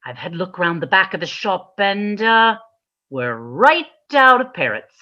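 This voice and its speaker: Woman doing a shifty pet shop keeper, slow and hesitant, sharp voice, radio sketch, dull lo-fi sound. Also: mid-range voice, sing-song. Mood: sheepish, hesitant. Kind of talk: British radio comedy sketch acting. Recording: dull lo-fi recording.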